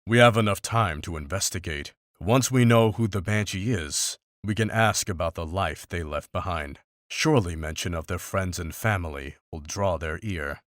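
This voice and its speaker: A man playing a stern, pragmatic older monster hunter in a fantasy visual novel, speaking in a formal, measured, unsentimental way. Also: sits low, a bit bright, no room sound, clean studio sound. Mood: calm, firm.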